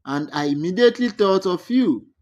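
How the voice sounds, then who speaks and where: A storyteller voicing an excited, helpful young woman, an upbeat and reassuring old friend in a folktale. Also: mid-range voice, moderate pace, muffled recording, lightly acted.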